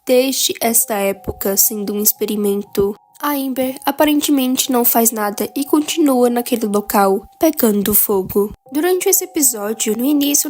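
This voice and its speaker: A woman narrating a recap of a horror video series in a steady, explanatory way. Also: on the high side.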